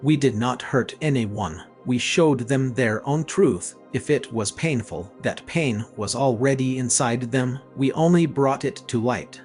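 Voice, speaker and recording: A man acts out a self-justifying director of an experimental gallery in a dramatized story. His mid-pitched voice is measured and clinical, always persuading. Music plays softly behind him. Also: close to an everyday voice. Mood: calm self-defence.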